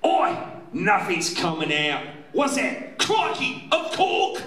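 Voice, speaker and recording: High-pitched, hammy blustering radio villain. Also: male voice, sing-song, slow, a crowd in the background, heavily acted. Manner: hammy, theatrical. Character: blustering villain who drops a phony Mexican accent for Australian. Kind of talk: live parody of old-time radio play. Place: convention stage before an audience. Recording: roomy distant live stage, loud music.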